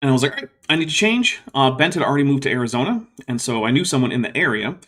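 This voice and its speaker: Guy on a livestream answering viewer questions, soothing voice, thinks out loud, lots of ums. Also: mid-range voice, animated, very fast. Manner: rambling and reflective, many fillers and restarts. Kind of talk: casual livestream Q&A.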